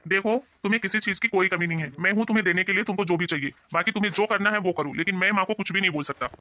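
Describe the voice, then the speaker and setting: An actor playing a placating, exasperated husband who avoids conflict in an animated family story. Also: male voice, sits high, racing.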